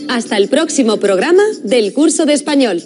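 A young woman with a Spain accent presents a TV Spanish course, speaking clearly and deliberately in a studio. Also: sits high, lively intonation, music in the background. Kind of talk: scripted educational presenting. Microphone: studio mic, framed close.

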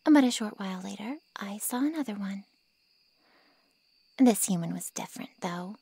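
A woman playing a haughty, callous ancient vampire in an ASMR roleplay drama, with mocking sarcasm and a breathy drawl. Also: mid-range voice, expressive, moderate pace, dark tone, dry recording. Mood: cold, disdainful.

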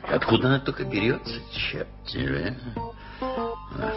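A man's voice: medium pitch, wildly swooping pitch, a little slow. An actor playing a kindly, flustered older male journalist in a 1980s radio play, coaxing and muttering to himself. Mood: exasperated. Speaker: lead character in a radio play. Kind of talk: 1980s radio drama acting. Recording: lo-fi archival radio recording with music.

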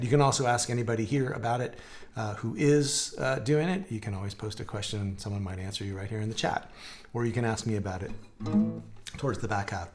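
A man in his forties teaching a guitar lesson in a relaxed, conversational way, his voice a little gravelly from a dry throat. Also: medium pitch. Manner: relaxed, conversational, explaining with hand gestures and demonstrating. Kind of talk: live-streamed guitar lesson. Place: home music room with guitars on the walls.

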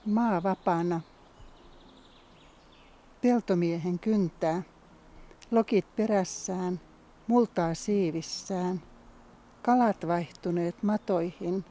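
A woman reciting poetry in a measured, scripted style. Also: fairly low pitch, mellow. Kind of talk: poetic recitation.

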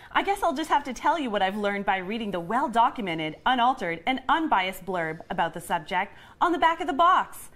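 A woman's voice: a little high, fairly bright tone. A woman playing a perky 1950s housewife and mother, delivering cheery sales patter like an old radio advert.

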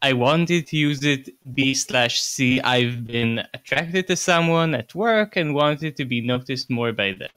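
A woman's voice: a bass voice, measured pace, few pauses, fairly bright tone, no echo, studio quality. A woman on a podcast, casually reading product reviews aloud. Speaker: podcast reader.

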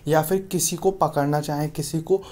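A man's voice: a little high, steady tone, normal speed, warm tone. A man giving an app tutorial voice-over as a YouTube host, often addressing his viewers as friends.

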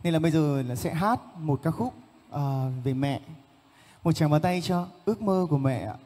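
A man's voice: fairly high pitch, animated, normal speed, dark tone. A man singing live on a shopping mall stage, chatting casually and politely with the audience between songs and coaxing them to come closer. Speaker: singer performing live. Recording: long reverb of a big mall hall, faint music.

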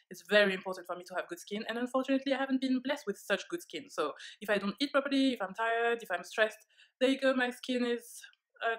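A woman's voice: mid-range voice, fairly bright tone, low-quality recording. A young woman with a French accent, talking to camera from her living room in a candid, reflective way with frequent fillers. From France. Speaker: vlogger. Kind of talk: casual talk to camera. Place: living room, seated on a leather sofa.

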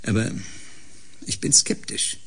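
An actor playing a cool, sardonic, ambitious research scientist with a dry, matter-of-fact manner in a radio drama. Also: male voice, slow pace, close to an everyday voice. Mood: dry, skeptical. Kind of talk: German science-fiction radio drama acting.

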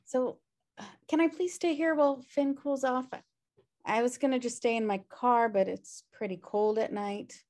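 A woman's voice: a little high, fairly even intonation, moderate pace, a little mellow, natural voice. A woman reading the part of a sardonic, wisecracking slacker sister in a sitcom table read over a video call. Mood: sheepish. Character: flippant, sardonic slacker sister, adult twin. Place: indoor room at home on video call.

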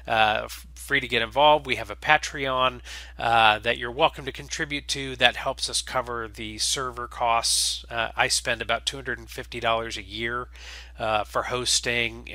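A man gives a casual spoken introduction as host. His voice is strikingly bright and forward, and he moves along haltingly, dropping in uh after uh. The sound is dry and close, with very little room on it. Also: medium pitch, no room sound. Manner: halting, with very frequent uhs. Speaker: host and game creator.

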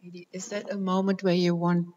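Low, flat-voiced woman moderator. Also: fairly quick, muffled recording, slight background noise. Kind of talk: stage moderation. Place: large conference hall on stage. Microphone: handheld mic. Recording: long hall reverb.

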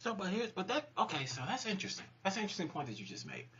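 A man's voice: high-pitched, racing, slight background noise. A man in his thirties co-hosting a casual live chat from a home kitchen, teasing and laughing as he argues. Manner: animated, teasing, laughs a lot. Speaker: co-host. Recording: muffled lo-fi webcam audio.

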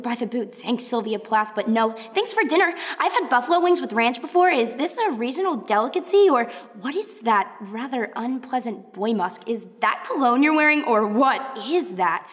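Woman playing an anxious, high-voiced brainy teen girl. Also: in a large hall, natural voice. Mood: awkward. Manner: nervous, wordy, sardonic. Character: brainy, anxious, wry teenage girl inventor. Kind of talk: radio play monologue and dialogue.

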